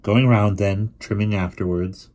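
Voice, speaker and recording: A man's voice: fairly low pitch, steady tone, a little slow, darkish tone, close to an everyday voice. A man voicing a chatty younger boy who turns doleful.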